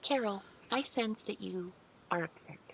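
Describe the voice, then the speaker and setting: A woman's voice: medium pitch, measured pace, creaky, a bit bright, slight background noise, poor audio. A woman playing a polite, childlike artificial intelligence in an audio drama, speaking earnestly with precise, formal, literal wording. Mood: innocent, concerned.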